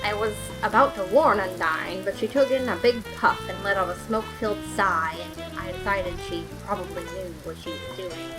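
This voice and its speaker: A woman reading a fan story aloud as narrator, with lively, playful storytelling. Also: medium pitch, moderate pace, hardly any pauses, over music, rough recording. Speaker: podfic reader.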